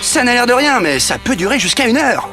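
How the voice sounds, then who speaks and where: A chatty, matter-of-fact cartoon fellow, high-pitched and lively, music behind. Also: male voice, brisk, full character voice. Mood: brisk. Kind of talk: cartoon voice acting.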